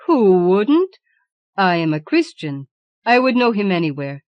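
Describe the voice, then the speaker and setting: A woman's voice: medium pitch, big pitch swings, measured pace, no room sound, muffled recording. A woman voicing a shrewd peasant man in a storybook, folksy and self-assured. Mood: defiant, assured. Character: plain-spoken, confident peasant man, shrewd.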